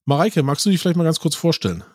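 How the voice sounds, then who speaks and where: A man's voice: a little high, very animated, very fast, no room sound, studio quality. A man hosting a podcast interview, talking with his guests over a remote connection and setting up his questions plainly. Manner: conversational, sets up questions plainly. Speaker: podcast host. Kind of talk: casual interview chat. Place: remote recording, virtual studio.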